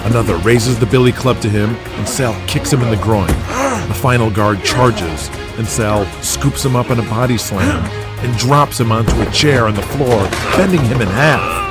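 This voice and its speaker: A man's voice: medium pitch, normal speed, over loud music. A man giving scripted dramatic narration as the narrator of an audio drama.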